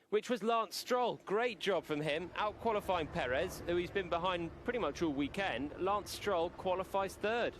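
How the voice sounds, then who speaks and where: A man giving measured, analytical co-commentary on a motor race. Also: fairly high pitch, noisy background. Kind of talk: live motor racing analysis.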